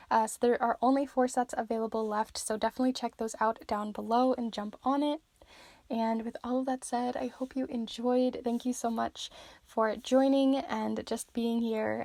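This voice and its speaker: A young woman giving a chatty tarot reading, a little hoarse as she is losing her voice. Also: a little high, no room sound. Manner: chatty, filler-heavy, warm encouragement. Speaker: tarot reader. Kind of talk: casual tarot reading to camera.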